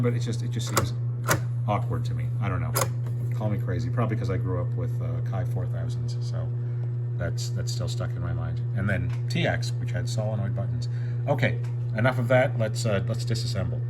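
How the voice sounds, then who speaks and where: A man casually talking through an unscripted gear demonstration in a rambling, self-interrupting, conversational way. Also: on the low side. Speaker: vintage tape recorder reviewer. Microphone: handheld camera. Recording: loud electrical buzz and hum. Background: steady loud buzz from tape machine.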